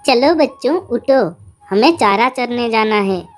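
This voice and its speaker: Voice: medium pitch, expressive, unhurried, lightly acted. A performer voicing a kind mother cow in a cartoon animal story, motherly and reasoning. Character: kind, simple-natured mother cow, caring peacemaker.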